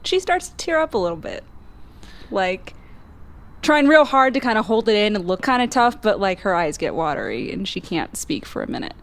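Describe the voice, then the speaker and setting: A woman's voice: medium pitch, sing-song, moderate pace. A woman roleplaying at a tabletop game, informally narrating what her character does.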